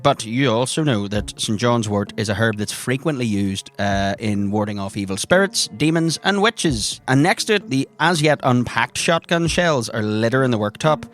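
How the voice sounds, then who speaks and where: A man's voice: mid-range voice, lively intonation, moderate pace, few pauses, a bit bright. A man working as game master, narrating scenes descriptively for a tabletop role-playing game.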